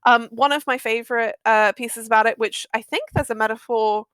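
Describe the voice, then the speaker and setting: High-voiced woman enthusing about history. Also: brisk, smooth, no room sound. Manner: animated, effusive, sweary, emphatic. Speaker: guest audio drama maker. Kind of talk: enthusiastic casual explaining of history.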